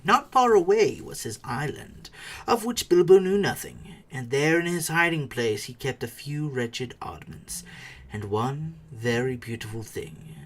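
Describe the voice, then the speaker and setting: A man narrating a storybook dramatically, moving theatrically between hushed and emphatic delivery. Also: medium pitch, expressive, unhurried. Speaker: audiobook narrator.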